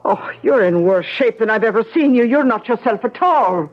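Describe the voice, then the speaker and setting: An actress playing a long-suffering, fondly scolding wife with a coaxing, gently exasperated manner in a 1970s radio drama. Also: female voice, a little high, expressive, moderate pace, background noise, lightly acted. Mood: exasperated, worried. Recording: dull 1970s radio broadcast recording.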